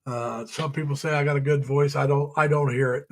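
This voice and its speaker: A middle-aged man hosting a casual live stream, chatting with viewers in a folksy, rambling way, laughing often and pausing on many uhs. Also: mid-range voice, steady tone, a little mellow. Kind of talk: casual chat reading viewer comments. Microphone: home webcam or computer mic.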